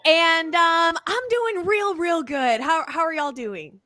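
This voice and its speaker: Bright, high-voiced woman. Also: takes it slowly, no echo, studio quality. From southern United States. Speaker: sports show co-host. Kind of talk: casual sports chat.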